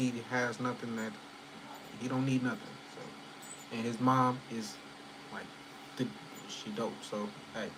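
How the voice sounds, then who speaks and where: A man's voice: mid-range voice, noisy background. A man in his early thirties chatting loosely at home with viewers, rambling, full of fillers and swearing. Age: 30s. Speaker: channel host chatting with viewers. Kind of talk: casual livestream chat.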